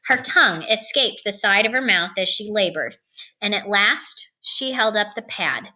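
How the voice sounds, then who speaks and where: Bright-voiced woman reading a story aloud. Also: medium pitch, expressive, moderate pace, poor audio. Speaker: storybook reader. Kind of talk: expressive read-aloud narration of a novel.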